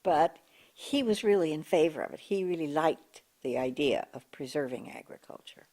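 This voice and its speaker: An older woman with a big voice, recalling local government history in an oral history interview, pausing and restarting as she searches for words. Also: low-pitched, animated, a little mellow. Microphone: table mic in front of a coffee pot.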